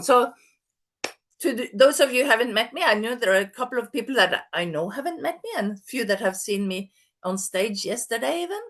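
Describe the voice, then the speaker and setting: A woman's voice: mid-range voice, fairly bright tone. A woman, presenting an online workshop over a video call in an upbeat, chatty way. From Norway. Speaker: masterclass presenter.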